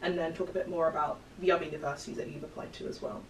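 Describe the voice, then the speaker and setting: A young woman hosting a casual interview with students, giving quick advice to viewers, recorded by a distant camera in a small room. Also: sits low, steady tone. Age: young adult. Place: small room with bookshelves, indoors. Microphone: far camera. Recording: roomy sound from a distant camera, faint noise.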